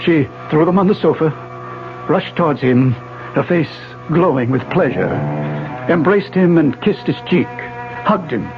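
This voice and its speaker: An actor playing a grave, wistful retired professor in a 1970s radio drama, speaking with formal, measured diction. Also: male voice, a little high, lively intonation. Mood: grave, reflective. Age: older. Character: grave, wistful retired physics professor, older married man. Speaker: narrator and lead actor. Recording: dull 1970s radio recording, faint music.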